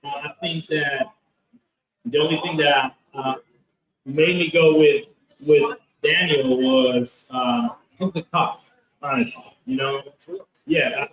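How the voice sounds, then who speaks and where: A man's voice: a little high, expressive, unhurried, recorded from a distance, lo-fi sound. A man being interviewed at a convention about his comic book, talking in a rambling way with lots of fillers and restarts. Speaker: comic book creator, guest. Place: convention hall. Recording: roomy echo, from off mic.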